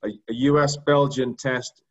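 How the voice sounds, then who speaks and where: Halting man on a dull video call. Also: medium pitch, flat delivery. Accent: non-American English. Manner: halting, many fillers and repeated words. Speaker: diagnostics company executive. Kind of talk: presenting slides on a video call. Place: indoor room. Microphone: video call webcam.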